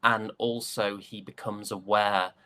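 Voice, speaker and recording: A young British man chatting casually as an interview guest, an actor recounting his roles in a hesitant, halting way. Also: fairly low pitch, level tone, pushed and bright, no room sound. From United Kingdom.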